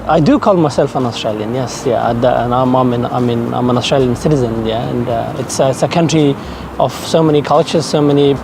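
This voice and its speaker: A man's voice: mid-range voice, fairly quick, gravelly, few pauses, noisy background. Hesitant young man in a park. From Afghanistan. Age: 20s. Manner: hesitant, many restarts and fillers. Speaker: interviewee, business student. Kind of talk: documentary interview. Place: outdoors in a city park.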